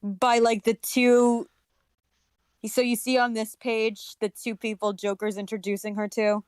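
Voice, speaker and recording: A woman's voice: on the high side, little pitch movement, measured pace, a little mellow, smooth, dry recording. A woman co-hosting a casual discussion, talking in a sarcastic, critical way. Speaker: podcast co-host. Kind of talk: casual comic-book discussion.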